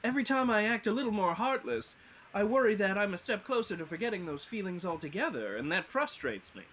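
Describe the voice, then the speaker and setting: A man's voice: high-pitched, animated, a little fast, a bit bright, a little hiss or hum. A man playing a tender-hearted, anxious and weepy tin woodman in a live fantasy table read, fretful and melodramatic. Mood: mournful earnest.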